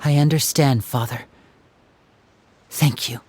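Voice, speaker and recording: A man's voice: medium pitch, steady tone, slow, a little mellow, a little hiss or hum, lightly acted. An actor voicing an earnest young man, a devoted son in his household, speaking respectfully in a video game cutscene. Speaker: game character.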